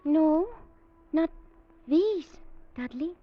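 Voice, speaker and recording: A woman playing a gentle, childlike young woman of a future people in a radio drama, speaking halting, simple English. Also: high voice, lively intonation, faint music behind, heavily acted. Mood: timid, gentle. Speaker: supporting actress. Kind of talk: 1950s radio drama acting. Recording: dull vintage radio recording.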